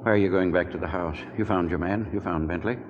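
A shaken, self-reproaching older family doctor played by an actor in a forties radio drama. The recording is old and dull, with faint noise. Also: male voice, deep voice, fast talker, natural voice. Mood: shaken, bewildered. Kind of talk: 1940s radio drama acting.

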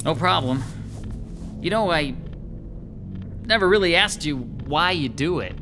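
A man's voice: a little high, animated, unhurried, music in the background. An actor playing a slangy, grumbling, middle-aged male New York lackey in an audio horror drama. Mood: casual.

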